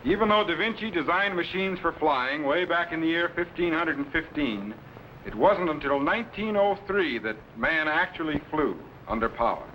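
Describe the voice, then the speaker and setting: Man acting urgent, high-pitched space captain. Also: animated, normal speed, highs cut off, a little hiss or hum, natural voice. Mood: calm, explanatory. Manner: authoritative, dramatic delivery. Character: commanding, urgent time-travelling space captain. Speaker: lead actor in a sci-fi serial. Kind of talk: 1950s children's sci-fi serial acting.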